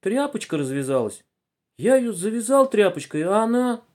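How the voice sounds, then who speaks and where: A man voicing a flustered, guilty schoolboy in a story, halting and stammering. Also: high-pitched, very animated, voice pushed up.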